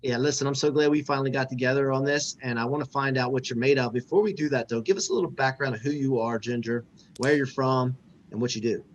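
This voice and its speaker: A man hosting a podcast, talking in an energetic, hype-style way as he promotes and interviews. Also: medium pitch, fast, muffled recording, slight background noise. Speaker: podcast host.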